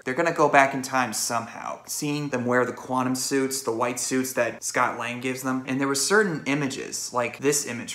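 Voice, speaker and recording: A man giving an opinionated, expressive movie review in a roomy, echoey space. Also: mid-range voice. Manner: expressive, acts out quotes and reactions. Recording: roomy, echoey indoor sound.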